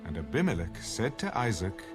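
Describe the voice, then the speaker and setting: A man narrating a dramatized audio Bible in a measured, solemn storytelling manner. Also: low voice, sing-song. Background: music under the narration.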